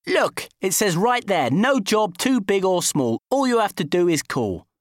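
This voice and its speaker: Guy doing a dopey sulky best mate, high whiny voice all over the place, cocky, comic audiobook. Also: sing-song, no room sound, studio quality. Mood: indignant. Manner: whining, protesting, quick to take offence. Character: sulky, indignant, dim young male best mate, cocky.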